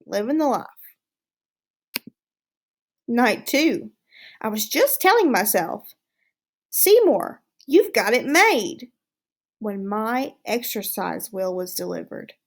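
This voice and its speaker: Storybook hamster, played by a woman: cocky, easily disgusted, exclamatory, with swooping intonation and slow delivery. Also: fairly high pitch, very animated, gravelly, full character voice. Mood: smug contentment. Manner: boastful, self-satisfied, exclamatory. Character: smug, excitable, easily disgusted male pet hamster. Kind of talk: storybook read-aloud, diary narration.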